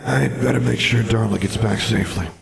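A man's voice: fairly low pitch, steady tone, a little fast, creaky, roomy sound, pitched above the performer's own voice. A man voicing the troubled ghost of a dead wizard, earnest and weary.

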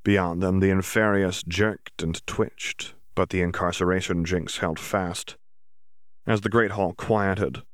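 Deep-voiced man narrating a story. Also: no echo, clean studio sound. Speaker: audiobook narrator. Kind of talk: reading a fan fiction audiobook.

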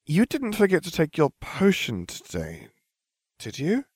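A man's voice: on the high side, sing-song, fast, a little mellow, no room sound, clean studio sound, pitched above the performer's own voice. A man voicing a prim, fretful young schoolgirl with an indignant, scolding manner in an audiobook. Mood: wary.

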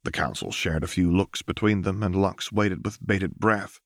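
A man's voice: deep voice, normal speed, no room sound, studio quality. A man reading a fantasy story as an audiobook narrator, in scripted narration.

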